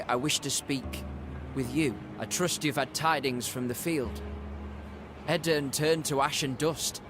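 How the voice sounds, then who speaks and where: Young fantasy prince, male actor: measured, formal, imploring, a touch higher-pitched than most men. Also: noisy background, natural voice. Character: earnest young prince pleading with his mother. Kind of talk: dramatic video game voice acting.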